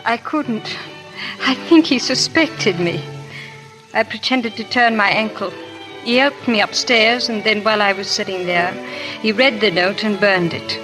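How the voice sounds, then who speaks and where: A woman playing a troubled aristocratic lady in an old radio play. She speaks earnestly and formally at a middle pitch, with music on the vintage recording. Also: measured pace, natural voice, poor audio. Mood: uneasy, subdued. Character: anxious noble lady coerced into spying. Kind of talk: 1930s radio drama acting.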